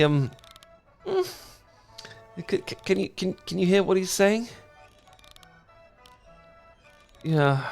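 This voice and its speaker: A man's voice: mid-range voice, clean tone. Man acting a stammering, flustered British dork. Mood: nervous, halting. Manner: stammering, flustered, halting, apologetic. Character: awkward, anxious, smitten British male dork. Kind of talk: ASMR romantic roleplay acting.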